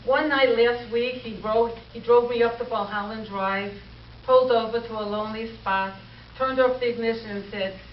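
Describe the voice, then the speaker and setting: A woman's voice: on the high side, fairly even intonation, moderate pace, natural voice. A woman playing a sardonic, wisecracking telephone operator in an old-time radio comedy performed on stage. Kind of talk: 1940s radio comedy acting. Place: live stage show before an audience. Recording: echoey stage recording, distant, dull, faint noise.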